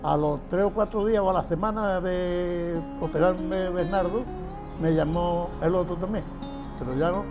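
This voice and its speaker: A man's voice: sits high, fairly even intonation, moderate pace. Older man, plain-spoken, over music. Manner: plain-spoken, repeats phrases, chuckles often. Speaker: former patient. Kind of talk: casual interview answers. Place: shaded outdoor patio. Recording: music bed underneath. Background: background music.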